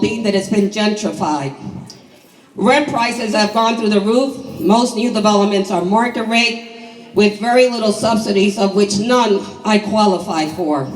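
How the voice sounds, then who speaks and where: A hoarse woman in her sixties, a community coalition leader reading an earnest personal statement at a town hall. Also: medium pitch. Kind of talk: prepared speech at a town hall. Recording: echoey hall from far off.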